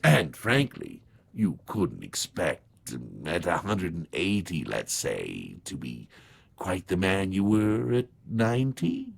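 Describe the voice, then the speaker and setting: A man's voice: deep voice, huge pitch swings, slow pace, creaky, exaggerated voice. A man voicing a testy, officious supernatural scissors grinder in a dramatized story, drawing out his words in a businesslike way. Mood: apologetic.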